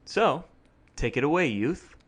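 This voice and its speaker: A man's voice: fairly high pitch, animated, a little slow, soft background music. A man hosting a podcast in a conversational style, talking to listeners and reminiscing casually. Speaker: podcast host.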